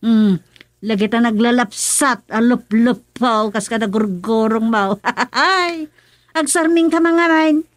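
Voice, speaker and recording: A woman acting as a talkative, teasing village aunt in a radio comedy drama, with an Ilocano Filipino accent and animated exclamations. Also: a little high, slow pace, no echo, studio quality. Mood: coaxing.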